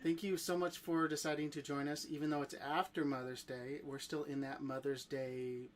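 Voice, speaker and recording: A man's voice: fairly high pitch, flat delivery, moderate pace, slight background noise. A man co-hosting a family vlog livestream, casually introducing guests and asking interview questions in an upbeat way.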